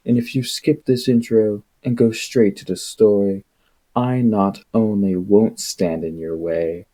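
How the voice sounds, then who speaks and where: A man livestreaming a book reading in a casual, rambling, irreverent way, with a husky voice and an exasperated, sweary manner. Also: fairly low pitch, mellow. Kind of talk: casual rambling livestream with read-aloud passages.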